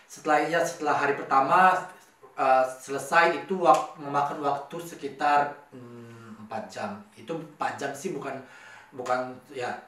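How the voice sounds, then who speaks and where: A man's voice: mid-range voice, a bit bright, a little hiss or hum. Hesitant Indonesian man in an echoey room. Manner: hesitant, halting, repeats words. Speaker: vlogger telling his own story. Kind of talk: casual storytelling to camera, mixing Indonesian and English. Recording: very echoey room, distant mic.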